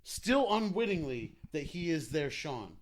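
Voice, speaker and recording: A man co-hosting a comedy podcast, excitably telling improvised stories in casual banter, swearing and playing out imagined dialogue. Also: fairly high pitch, a little slow.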